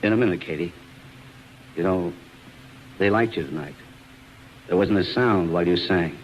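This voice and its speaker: An actor playing a brash, smooth-talking show boss, teasing, cocky and bossy, in 1940s radio acting style. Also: male voice, deep voice, normal speed, muffled recording, natural voice. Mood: flirting, cocky. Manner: wisecracking, cocky. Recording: noisy 1940s radio broadcast recording.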